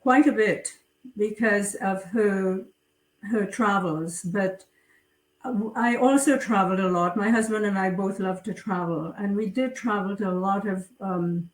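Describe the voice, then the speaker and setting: A middle-aged woman from Trinidad, an author talking about her writing in an interview, slowly, with many fillers and pauses. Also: medium pitch, fairly even intonation. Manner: slow, hesitant, many fillers. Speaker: guest author. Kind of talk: interview conversation. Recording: roomy, distant from mic.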